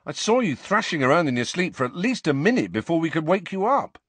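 Audiobook teen boy, done by a man: matey, plain-spoken, casual, higher pitch, lively delivery. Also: fast talker, husky, no room sound, exaggerated voice. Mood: frank, reassuring.

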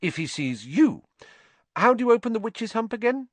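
A man's voice: sits high, big pitch swings, moderate pace, dry recording, pitched above the performer's own voice. A man voicing an anxious, bossy and brave teenage schoolgirl in a storybook reading. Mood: brisk.

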